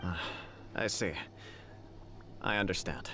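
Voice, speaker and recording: A man's voice: deep voice, slow, background noise. A man voicing a gracious, dignified government official in a feudal video game world, speaking in a formal, polite, stately way. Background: game music.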